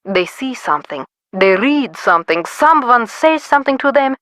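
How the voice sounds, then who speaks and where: Brusque woman academic, video game role, sharp-edged bright tone, imperious and clipped, dry studio recording. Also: a little high, animated, normal speed, dry recording. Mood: lecturing. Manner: imperious, clipped, impatient lecturing. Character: brusque, self-important woman expert on lycanthropy. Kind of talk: scripted video game voice acting.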